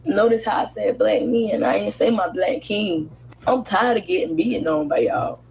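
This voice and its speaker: A woman's voice: mid-range voice, normal speed, a little mellow, slight background noise, poor audio. A woman giving an impassioned monologue in a weary voice, repeating herself.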